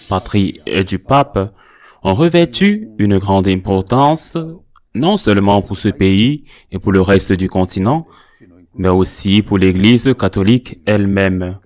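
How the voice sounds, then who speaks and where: A man presenting scripted religious news and editorial commentary in a measured, formal register. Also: on the low side.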